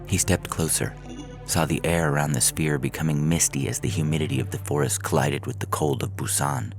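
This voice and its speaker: A man with an extremely low voice reads scripted science fiction as a podcast narrator. There is a gravelly fry in his delivery, and faint music plays behind him. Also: steady tone, brisk.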